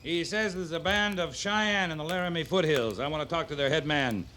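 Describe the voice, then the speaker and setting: An actor playing a laconic, plain-spoken frontier cavalry captain in an old radio western. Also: male voice, fairly high pitch, brisk, pushed and bright. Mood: commanding. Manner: terse, understated, plain-spoken. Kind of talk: 1950s radio western acting. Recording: noisy old radio drama recording.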